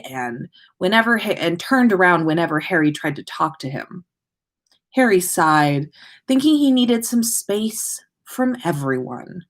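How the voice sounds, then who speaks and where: A woman's voice: medium pitch, fairly bright tone. A woman hosting a livestream, chatting informally and reading stories aloud with expression. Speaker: podcast livestream host reading listener stories. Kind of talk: casual livestream chat and expressive story reading.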